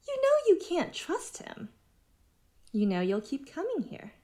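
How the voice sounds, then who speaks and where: A woman acting a manipulative cult leader in an audio drama, speaking in a measured, condescending, therapist-like way. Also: extremely high pitch, wildly swooping pitch, dark tone, full character voice. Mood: mocking, challenging. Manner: measured, patronising, therapist-like phrasing.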